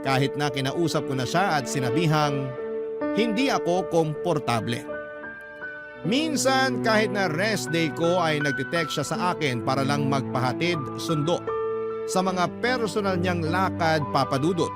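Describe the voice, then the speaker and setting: A man's voice: fairly high pitch, animated, measured pace. A man with a Filipino accent narrating a scripted first-person radio story in a confiding storytelling way. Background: prominent music.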